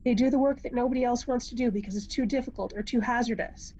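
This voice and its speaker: Woman playing a knowing confidante, husky, higher voice. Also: mellow, natural voice. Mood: quietly pointed. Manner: measured, pointed, unhurried. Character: calm, wise woman confidante, gently provocative and knowing. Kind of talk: amateur script table read. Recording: dull, muffled lo-fi microphone sound.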